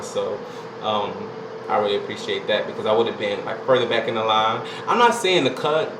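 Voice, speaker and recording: A man, casually talking through his experience in a rambling, chatty way with lots of fillers. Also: mid-range voice. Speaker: vlogger. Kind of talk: casual vlog recounting a singing audition. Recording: echoey room with loud air-conditioning hum.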